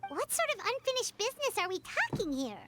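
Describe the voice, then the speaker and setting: A woman voicing a tiny, excitable fairy companion in a game who talks about herself by name. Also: extremely high pitch, brisk, over loud music. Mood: curious. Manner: chirpy, speaks of herself by name. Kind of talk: game voice acting.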